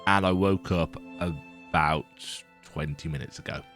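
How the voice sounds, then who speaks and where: A man with a croaky voice giving casual, rambling, self-deprecating commentary while he plays a video game. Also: low-pitched, measured pace, a bit bright, soft background music. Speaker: let's play gamer.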